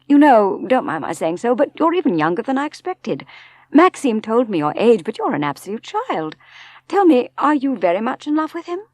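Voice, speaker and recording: A woman voicing a brisk, blunt, hearty English sister-in-law in an audiobook, direct and straightforward. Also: a little high, very animated, darkish tone, no echo, pitched above the performer's own voice. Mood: frank, kindly.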